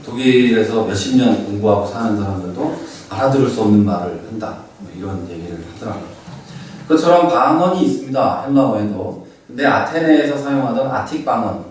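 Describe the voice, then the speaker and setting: A man's voice: medium pitch, a little mellow, very echoey, distant mic, rough recording. A middle-aged man teaching a Bible class in a conversational lecturing manner with fillers and asides. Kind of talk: lecture on biblical background.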